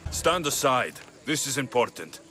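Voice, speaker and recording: A man's voice: medium pitch, sing-song, normal speed, pushed and bright, a little hiss or hum. A man playing a blunt, confident Greek mercenary in a video game, with a curt, dry delivery. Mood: tough, dry. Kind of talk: video game dialogue acting.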